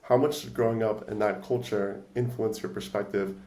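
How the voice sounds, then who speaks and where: A man hosting a podcast, asking smooth, prepared interview questions. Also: on the low side, steady tone, moderate pace, a little mellow. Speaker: podcast host, co-founder. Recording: echoey room.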